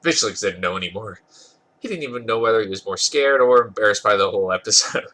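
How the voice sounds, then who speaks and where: Congested, husky man reading a story aloud. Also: fairly low pitch, sharp tone. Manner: amused, stumbles over words and corrects himself. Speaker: podcast host reading fan fiction aloud. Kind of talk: dramatic reading of a comic story.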